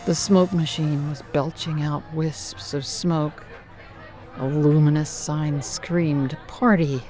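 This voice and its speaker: A slow, deliberate horror narrator with a mid-pitched voice that could be a man's or a woman's. The delivery is dramatic and menacing, built on theatrical pauses, with faint music underneath.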